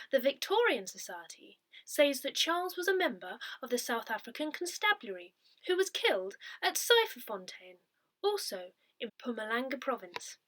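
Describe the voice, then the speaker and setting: A woman narrates from a prepared script in a fairly high voice. Her intonation rises and falls a great deal. She keeps a measured delivery and enunciates with care, like a reader of an audio newsletter. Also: pushed and bright. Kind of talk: scripted narration, reading a written article aloud.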